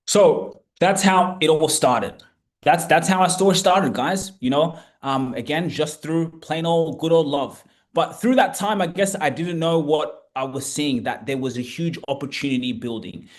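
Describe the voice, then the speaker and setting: A young Australian man in a casual vlog, telling a story with lively, repeated emphasis as a property coach. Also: fairly high pitch. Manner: animated, repeats words for emphasis.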